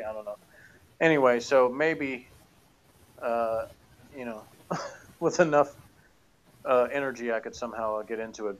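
A man's voice: medium pitch, poor audio. Give me a middle-range male voice, hesitant and rambling, chatting casually at a desk. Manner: rambling and hesitant, many fillers. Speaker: podcast co-host reviewing audio dramas. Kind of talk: casual chat. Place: home office with bookshelves, indoors.

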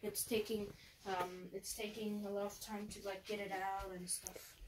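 A young girl giving a casual video tour of her home, talking in a halting way with fillers and restarts, recorded on a handheld phone. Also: mid-range voice, flat delivery, poor audio. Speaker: house tour guide. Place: inside a house. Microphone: handheld phone camera.

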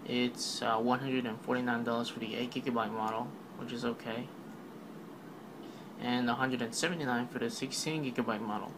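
A man's voice: medium pitch, steady tone, brisk, background noise. A man giving a casual, rambling voice-over recap of a product keynote, full of fillers and offhand remarks. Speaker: tech video narrator.

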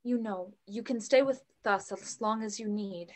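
A woman's voice: mid-range voice, moderate pace, a little mellow, natural voice. A young woman reading the part of a protective, wry widowed mother in a play over a video call. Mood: tender, shaken. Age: young adult. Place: room at home. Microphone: computer mic on video call.

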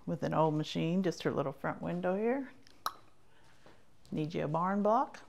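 A woman's voice: lowish voice, normal speed, vocal fry, darkish tone. A woman giving a casual, chatty shop tour in short folksy remarks that trail off, recorded as she walks around indoors. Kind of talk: casual rambling tour commentary. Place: indoors, walking through a quilt shop.

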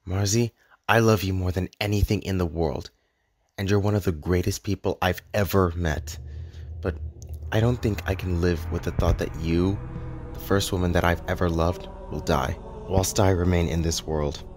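A man voicing a brooding, lovelorn immortal in an animated fantasy, with an earnest, melodramatic delivery. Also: low-pitched, normal speed. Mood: yearning, resolute. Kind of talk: dramatic animated fantasy dialogue.

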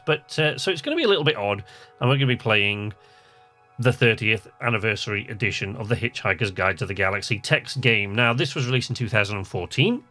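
A man's voice: fairly low pitch, bright, forceful tone. A man giving casual let's-play commentary, chatty and hesitant as he thinks aloud and reads game text. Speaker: host of a video game channel.

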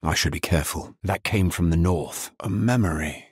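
An actor playing a grim, dutiful necromancer adventurer in a video game, with a grave, measured, terse delivery and a husky voice. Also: male voice, sits low, no echo, studio quality. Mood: grave, watchful. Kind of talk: video game character voice lines.

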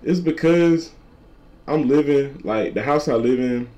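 A twenty-year-old man with a deep voice, a content creator talking casually to camera and repeating his points. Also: unhurried, mellow. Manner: repetitive, laid-back slangy talk, repeats lines. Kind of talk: casual vlog talk to camera.

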